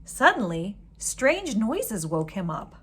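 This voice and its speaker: Expressive woman reading a storybook. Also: medium pitch, takes it slowly, husky. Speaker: teacher reading a book aloud. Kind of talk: children's storybook read-aloud.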